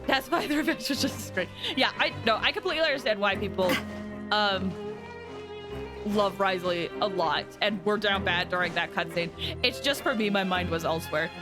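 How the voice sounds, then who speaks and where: A woman chatting casually to viewers as she hosts a game livestream, in an animated, chatty manner, sounding a little tired. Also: medium pitch, normal speed. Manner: animated, chatty, with excited exclamations. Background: background music.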